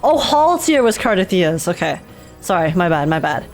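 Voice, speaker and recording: A woman's voice: on the low side, sing-song, moderate pace. A woman giving casual, gushing and slangy live reaction commentary while playing a video game. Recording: game music behind the voice. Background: video game music.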